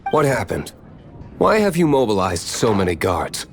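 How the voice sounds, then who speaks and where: A man's voice: lowish voice, huge pitch swings, moderate pace, vocal fry, full character voice. A man voicing a cool, guarded young tavern owner in an anime video game, dry, terse and composed. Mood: feigned calm. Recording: faint music behind.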